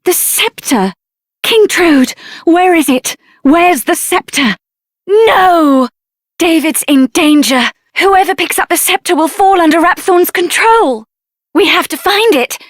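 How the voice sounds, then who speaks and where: A headstrong young adventure heroine with a high-pitched voice, recorded dry and close. She is spirited and earnest, speaking her lines expressively and plainly, as a fantasy video game character. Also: lively intonation, unhurried, no room sound. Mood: urgent, alarmed.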